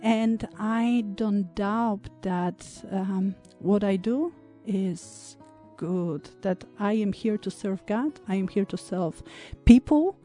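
A woman in her thirties from Poland, an English speaker, talking reflectively as an interview guest, with many pauses and fillers. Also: mid-range voice, mellow, soft background music. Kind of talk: conversational interview.

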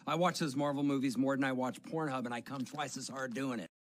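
A man delivering a mock monologue, heard as a quiet played-back audio clip. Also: medium pitch, steady tone, fairly quick.

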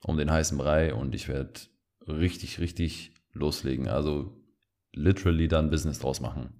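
Ein Mann als Co-Host eines Podcasts, der locker und scherzend plaudert, mit vielen Füllwörtern. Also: male voice, rumbling low, fairly even intonation, moderate pace, creaky, darkish tone. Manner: chatty, joking, many fillers. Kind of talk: casual chat.

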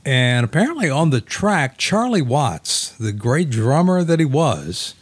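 A man's voice: mid-range voice, sing-song, a little slow, no room sound. An American man co-hosting a radio show, chatting casually and sharing music trivia in an agreeable, enthusiastic way.

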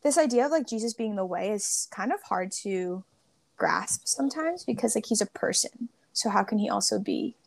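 Young female co-host on a remote call, dry close sound, creaky, talks in loose restarts. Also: mid-range voice, expressive, normal speed, dry recording. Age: young adult. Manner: joking, self-deprecating, talks in loose restarts. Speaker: podcast co-host. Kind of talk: casual faith podcast chat. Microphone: remote call with headphones.

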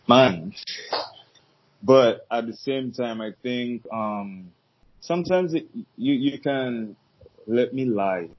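Husky low-voiced man, easygoing podcast guest. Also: dry recording. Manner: easygoing, rambling with restarts and fillers. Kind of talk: casual podcast chat. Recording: dull lo-fi sound.

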